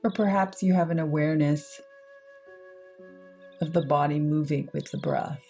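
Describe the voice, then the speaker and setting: A woman leading a guided meditation, speaking in unhurried, measured short phrases with pauses between them. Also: low-pitched, steady tone, dark tone, soft background music. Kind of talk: guided meditation, slow soothing instruction.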